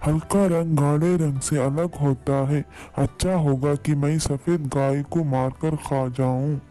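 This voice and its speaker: A woman voicing a cunning, smooth-talking male lion with a predatory edge in a storybook fable. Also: sits low, steady tone, mellow, soft background music, voice pushed down. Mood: sly persuasion. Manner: slow, persuasive and predatory. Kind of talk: storybook animal fable dialogue.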